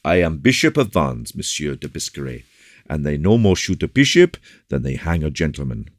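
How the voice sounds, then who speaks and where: A man voicing a solemn, commanding bishop who speaks with grave, measured courtesy in an audiobook. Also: rumbling low, big pitch swings, no echo, clean studio sound, exaggerated voice. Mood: courteous. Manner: grave, measured rhetoric with repeated appeals. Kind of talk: audiobook character dialogue.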